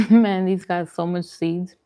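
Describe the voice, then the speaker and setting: A woman's voice: fairly low pitch, steady tone, slow, mellow, clear, resonant tone. A woman vlogger chatting casually while eating, talking in an informal, musing, conversational way. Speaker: vegan food vlogger.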